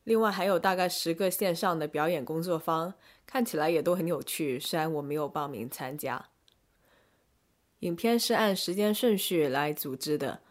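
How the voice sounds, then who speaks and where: A woman podcast host giving explanatory review commentary. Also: low-pitched.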